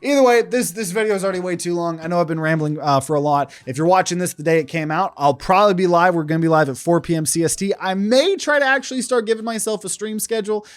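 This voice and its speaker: A man, talking to camera in a loose, rambling vlog full of fillers and restarts. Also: a little high. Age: 20s. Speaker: content creator. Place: indoor room. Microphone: camera at desk.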